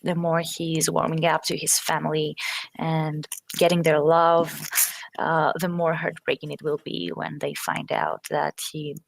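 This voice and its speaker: Lower-voiced thoughtful woman co-host. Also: level tone, a little slow, creaky, dry recording. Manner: thoughtful, many ums and uhs. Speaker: podcast co-host. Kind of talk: casual fan podcast chat.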